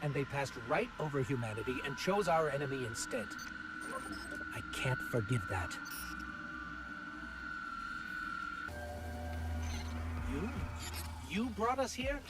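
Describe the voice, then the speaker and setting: A man's voice: fairly high pitch, sing-song, normal speed, very noisy. A man voicing an earnest, inquisitive robot companion in a video game, articulate and loyal. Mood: thoughtful, then indignant. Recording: loud music behind the voice.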